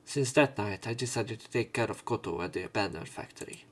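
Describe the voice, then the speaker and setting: A man's voice: fairly low pitch, a little fast, a little hiss or hum. A man reading visual novel narration aloud as a let's player, with casual commentary. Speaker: let's play streamer.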